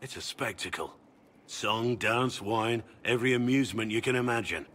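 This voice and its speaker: An actor playing a grave, weary, duty-bound veteran warrior in a video game, speaking in an earnest, formal, measured way. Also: male voice, mid-range voice, fairly bright tone, slight background noise, lightly acted. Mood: reflective. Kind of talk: dramatic video game dialogue.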